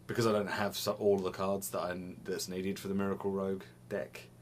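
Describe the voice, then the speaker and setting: A young man with a very deep, low voice talks loosely to the camera, circling back and repeating himself as he goes. He sounds a little sniffly. It is recorded on a desk camera in a small room at home, with a faint hiss behind him. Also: level tone, brisk. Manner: rambling, restarts phrases, laughs often. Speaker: vlogger. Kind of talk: casual chat to camera.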